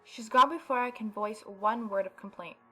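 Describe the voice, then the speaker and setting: A woman's voice: fairly high pitch, normal speed, pushed and bright. A woman gaming YouTuber reading visual novel narration aloud and adding casual asides. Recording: music behind the voice. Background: visual novel game music.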